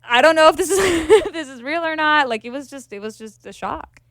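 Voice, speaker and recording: A woman's voice: sits high, expressive, a bit bright, no room sound, studio quality. A woman co-hosting a podcast, chatty and giggly in casual talk. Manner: giggly, chatty, quick asides. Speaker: podcast co-host. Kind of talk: casual chat.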